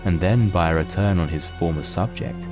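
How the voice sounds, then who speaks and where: A man narrating a mystery audio drama in a measured, solemn, literary manner. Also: deep voice, music in the background.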